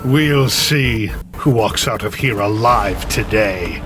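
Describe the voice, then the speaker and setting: An actor playing a vengeful, gloating villain in a dramatic, theatrical video game cutscene. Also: male voice, fairly low pitch, takes it slowly, over music. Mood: menacing. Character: vengeful, gloating male villain scheming against a tech company.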